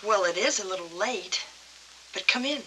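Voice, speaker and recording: A composed, easygoing woman of a 1940s noir film. Her voice sits at a middle pitch. The old soundtrack is plainly hissy and noisy behind her. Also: expressive, moderate pace, pushed and bright. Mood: composed, welcoming.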